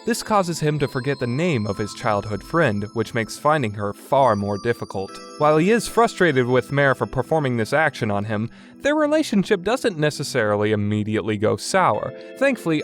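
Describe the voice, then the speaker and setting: Animated man giving a review over music. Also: medium pitch. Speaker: podcast host reviewing visual novels. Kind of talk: semi-scripted review commentary. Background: background music.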